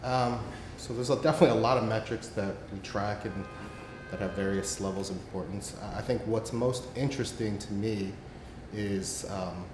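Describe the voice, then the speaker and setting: A man with a lowish voice, speaking in an echoey room with clear background noise. He talks in a matter-of-fact way and pauses on fillers. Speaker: panelist. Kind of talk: panel discussion. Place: event room before an audience.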